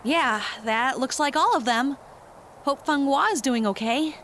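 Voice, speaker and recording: A woman's voice: high-pitched, measured pace, fairly bright tone, slight background noise. A woman playing an anxious, hesitant sidekick in an audio drama. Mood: concerned.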